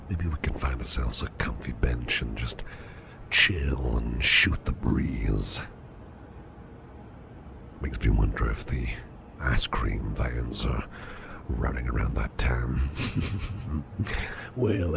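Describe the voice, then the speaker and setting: Caring boss , male actor: rock-bottom pitch, heavy creak, breathy half-whispers, soothing and tender ASMR roleplay delivery, close mic. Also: exaggerated voice. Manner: soothing, unhurried, repeats reassurances softly. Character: caring, reassuring businessman boss, gently flirtatious. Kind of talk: ASMR roleplay, personal attention. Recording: clearly audible background noise.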